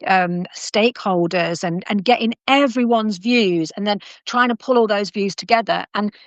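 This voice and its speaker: Woman host, middle-aged, lively chatty talk, medium pitch, dry close sound. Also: normal speed, no echo. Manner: chatty and animated, with frequent restarts and asides. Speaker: podcast host. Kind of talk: casual conversational interview.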